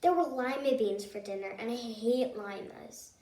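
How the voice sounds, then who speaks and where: A woman reading a children's picture book aloud as a storyteller, expressively and in short, measured phrases. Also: on the high side, dark tone, a little hiss or hum. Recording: roomy echo, distant mic.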